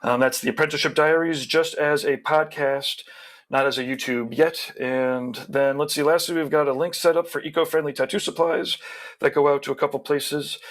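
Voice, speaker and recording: A man's voice: on the high side, fairly even intonation, moderate pace, fairly bright tone. A man on a video call who runs the show, reading out sponsor plugs in a rambling, casual way with many restarts. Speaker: show organizer.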